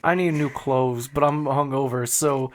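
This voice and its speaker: A man's voice: medium pitch, fairly even intonation, a little slow, slight background noise. A man co-hosting a podcast in casual chat, joking in a loose way. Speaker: podcast co-host reading listener questions.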